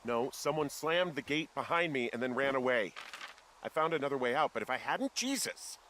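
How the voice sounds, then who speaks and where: An American actor playing an earnest, dryly humorous male fire lookout in a video game, speaking in a conversational, halting way. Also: mid-range voice, expressive, a bit bright, slight background noise, close to an everyday voice. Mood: alarmed. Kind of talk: naturalistic video game voice acting.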